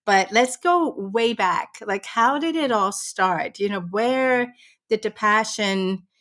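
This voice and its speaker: A woman's voice: mid-range voice, slow, a bit bright. A woman hosting a casual interview, enthusiastic and affirming toward her guest. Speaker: podcast host.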